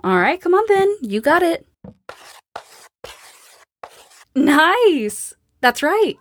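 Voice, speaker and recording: An actress playing a witty, sarcastic young schoolteacher in a post-apocalyptic audio drama, with a wry, bantering manner. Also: female voice, high-pitched, big pitch swings, takes it slowly, slight background noise, full character voice. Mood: encouraging, lively. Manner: wry, dry-humored, bantering. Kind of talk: naturalistic audio drama acting.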